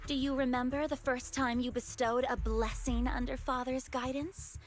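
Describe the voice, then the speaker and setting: A woman's voice: high voice, steady tone, moderate pace, natural voice. An actress voicing a cold, scheming cult woman in a video game, condescending and persuasive, with music behind. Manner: condescending, persuasive, coolly mocking.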